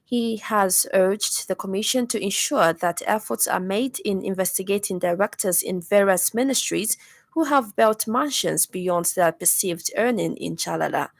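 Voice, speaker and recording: A woman news reader with a Zambian accent delivers a formal bulletin in measured phrases. Her voice sits at a middle pitch, recorded close and dry in a radio studio. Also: dry recording. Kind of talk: scripted news bulletin reading.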